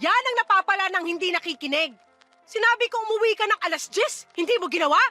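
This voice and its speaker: A woman playing a brash, scornful middle-aged mother in a radio drama, sharp-tongued and dismissive. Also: very high-pitched, animated, fast talker, faint music behind, full character voice. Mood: angry. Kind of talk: Tagalog radio drama acting.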